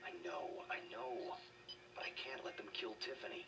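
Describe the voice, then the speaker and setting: A man's voice: lowish voice, moderate pace, music in the background, close to an everyday voice. A man playing a worried, protective middle-aged scientist and leader in a video game, grave and earnest. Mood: anguished. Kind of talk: dramatic video game voice acting. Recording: noisy, distant-sounding game capture.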